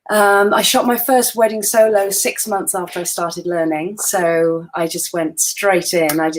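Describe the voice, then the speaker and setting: Woman, 40s, lowish voice, friendly, self-deprecating, a bit tired, video call. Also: rough recording. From Wales. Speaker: guest photographer. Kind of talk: casual webinar chat.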